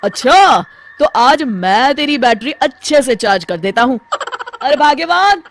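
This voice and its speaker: Woman as an indignant high-voiced householder. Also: lively intonation, a little slow, slight background noise. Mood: indignant, threatening. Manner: indignant, sarcastic. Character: indignant, threatening fat male householder catching a thief. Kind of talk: cartoon story character voice.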